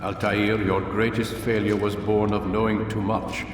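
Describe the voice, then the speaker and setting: An actor playing a stern, measured older master of assassins in a video game, speaking formally and deliberately, as if lecturing. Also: male voice, fairly low pitch, flat delivery, far from the mic, noisy background, lightly acted. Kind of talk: video game cutscene acting. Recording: very echoey hall, music behind.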